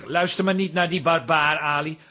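High-voiced, gushing would-be artist. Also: male voice, fairly even intonation, moderate pace, bright, forceful tone. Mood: affronted. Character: dreamy, easily offended would-be artist photographer. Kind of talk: radio comedy acting.

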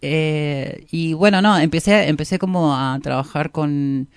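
A woman with an Argentine accent chatting casually as a musician guest in an interview, playfully joking in a deadpan way. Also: sits low, unhurried, clear, resonant tone, no room sound, studio quality.

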